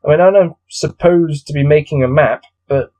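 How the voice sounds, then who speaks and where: Male gamebook host, slightly high but soft-edged voice, casual read-aloud with weary, grumbling asides. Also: brisk. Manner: bored, exasperated, grumbling. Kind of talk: casual commentary mixed with read-aloud narration.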